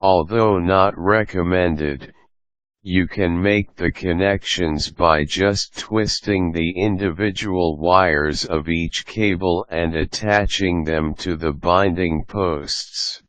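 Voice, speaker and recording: Deep-voiced man narrating a tutorial. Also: fairly bright tone, no room sound. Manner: measured, step-by-step delivery. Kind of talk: scripted instructional narration.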